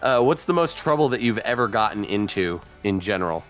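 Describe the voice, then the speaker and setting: American man hosting, slightly low voice. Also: expressive, a little fast, a bit bright. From Connecticut, United States. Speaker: podcast host. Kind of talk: casual chat. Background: music.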